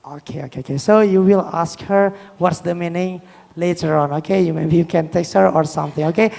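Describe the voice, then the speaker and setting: Indonesian guy hosting a panel, creaky voice, lots of okay okay yeah, echoey hall. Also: a little high, fairly even intonation, fairly quick, darkish tone. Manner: repetitive okays and yeahs. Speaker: moderator of a panel discussion. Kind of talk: informal live event hosting in simple English. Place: hall on a stage, live event.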